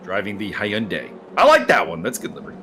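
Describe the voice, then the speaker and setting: A man's voice: fairly low pitch, huge pitch swings, quick pace, background noise. A man commentating casually on a sim racing stream, talking in an unscripted, rambling and joking way with a co-host. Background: music behind the voice.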